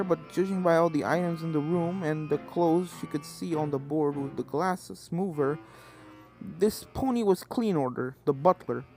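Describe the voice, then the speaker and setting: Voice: mid-range voice, darkish tone. A reader giving scripted narration of a fan fiction story. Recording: game music behind the voice.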